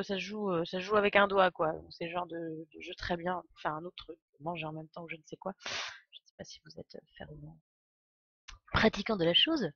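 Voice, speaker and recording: A woman's voice: lowish voice, slightly rough, no room sound, dull audio. A woman in her twenties with a stuffy cold, chatting casually and a little hesitantly as she hosts a live game stream. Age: 20s. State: congested with a cold.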